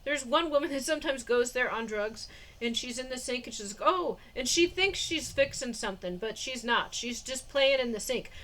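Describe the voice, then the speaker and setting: A woman's voice: high-pitched, expressive, normal speed, a bit bright, low-quality recording. A woman in her forties talking in a casual diary vlog, rambling with many fillers and frequent laughs, recorded indoors on a phone or camera mic. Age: 40s. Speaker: vlogger.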